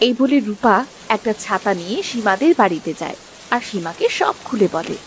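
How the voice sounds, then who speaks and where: A woman's voice: mid-range voice, expressive, fairly quick, slight background noise, low-quality recording. A woman with a Bengali accent narrating a scripted cartoon story.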